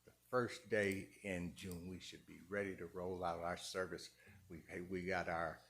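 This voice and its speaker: A man giving public comment at a city council meeting, halting and repeating words as he pushes his points. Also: deep voice, little pitch movement, a bit bright, a little hiss or hum. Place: council chamber.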